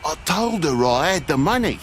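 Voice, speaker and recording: A man's voice: on the high side, sing-song, moderate pace, slight background noise. An actor playing a blunt, aggrieved male grumbler in a noir video game, complaining and swearing. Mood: bitter complaining.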